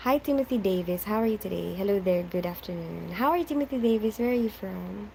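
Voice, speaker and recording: A young woman who grew up in the Philippines hosts a casual live stream, greeting viewers by name and replying to comments with frequent laughs. Her voice sits at a middle pitch, and she talks through a home mic. Faint background noise runs underneath. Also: animated, quick pace, vocal fry, mellow, poor audio. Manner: chatty, reads viewer names and answers them, laughs often.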